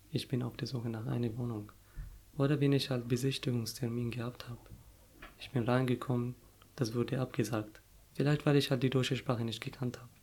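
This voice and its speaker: A young adult man, an Arabic native speaker, talks through his experiences as an interviewee. He speaks haltingly with many fillers, stopping to find the right words. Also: mid-range voice, a little mellow, a little hiss or hum. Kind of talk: casual personal story.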